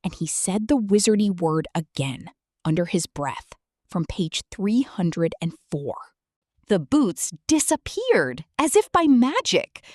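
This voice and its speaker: Brief: female storyteller for kids, sing-song and expressive, slow delivery. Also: mid-range voice, dry recording, clean studio sound. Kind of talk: children's story read-aloud.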